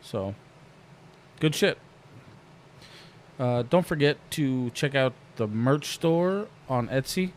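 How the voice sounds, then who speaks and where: Male podcast host, mid-pitched, relaxed and jokey, salty language, faint hiss behind. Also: slow pace, long pauses. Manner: laid-back, swears freely, jokey. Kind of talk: casual podcast chat.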